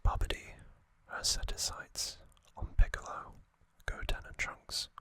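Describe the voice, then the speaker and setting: A man whispering trivia softly for an ASMR video, close to the microphone, with frequent ums and uhs. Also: unhurried, no echo. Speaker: ASMR channel host.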